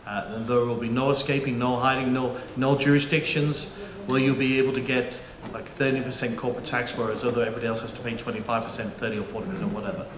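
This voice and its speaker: Chatty man in an echoey auditorium. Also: medium pitch. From United Kingdom. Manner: chatty, jokey, frequent restarts and fillers. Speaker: panel moderator. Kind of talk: conference presentation. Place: conference auditorium. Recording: echoey auditorium, faint room noise.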